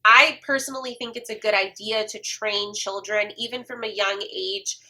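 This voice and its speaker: Congested woman, bright voice. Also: medium pitch, fairly even intonation, normal speed. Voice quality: congested, stuffy-nosed. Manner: earnest, gentle, encouraging. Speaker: homeschooling mom vlogger. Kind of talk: personal talk to camera.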